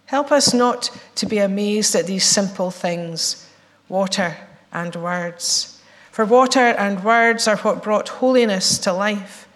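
Croaky-voiced woman minister in an echoey church. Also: medium pitch. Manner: measured and prayerful, then chatty and playful with children. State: croaky, recovering voice. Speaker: minister leading worship. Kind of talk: leading prayer and a children's talk. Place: church sanctuary.

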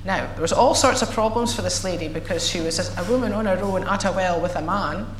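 A woman minister with a slightly croaky voice, leading a slow, measured prayer and then chatting playfully with children as she hands out water. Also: lowish voice, background noise. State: croaky, recovering voice. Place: church sanctuary. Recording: echoey church sanctuary.

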